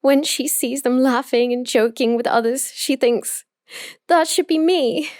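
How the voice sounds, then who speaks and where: An obsessive young woman, played by a voice actress, speaks in a high voice at an unhurried pace. She is possessive and emotionally intense, delivering an intimate roleplay monologue as if confiding in her best friend. The sound is close and very dry. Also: darkish tone, no echo. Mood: insistent, lovesick, then anguished, desperate. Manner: slow, deliberate, emotionally intense storytelling.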